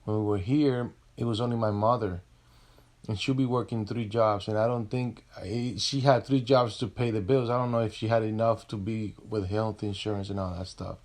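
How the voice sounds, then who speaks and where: Soft-spoken man in his thirties. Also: lowish voice, fairly even intonation. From Puerto Rico. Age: 30s. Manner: soft-spoken, halting, restarts often. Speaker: podcast guest. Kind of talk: casual interview.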